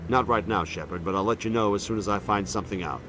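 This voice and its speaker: A man's voice: lowish voice, fast talker, lightly acted. A man playing an earnest, troubled admiral in a science fiction video game, speaking formally and with weight. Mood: grim, resolved. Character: earnest, troubled male navy admiral of space opera. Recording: clear background ambience noise.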